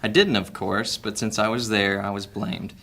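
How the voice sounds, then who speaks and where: An actor playing a cunning, guarded male master thief in an audio drama, speaking in a measured, sly way. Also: on the low side, fairly even intonation, vocal fry, fairly bright tone, slight background noise.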